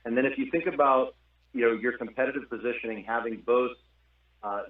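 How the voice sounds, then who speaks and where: A man calling in as a financial analyst to ask a question on an earnings call. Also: fairly low pitch. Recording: tinny, echoey, distant phone line.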